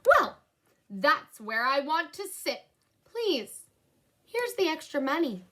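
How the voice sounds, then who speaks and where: A woman voicing a spirited, polite businesswoman in a children's storybook, earnest and expressive. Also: high-pitched, takes it slowly, voice pushed up, heavily acted. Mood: insistent.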